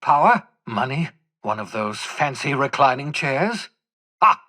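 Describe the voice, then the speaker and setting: An older man acting as an embittered, desperate renegade scientist in a video game, with a raspy voice and a theatrical, sarcastic, erudite manner. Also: mid-range voice, big pitch swings, unhurried, sharp tone, exaggerated voice. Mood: bitter sarcasm.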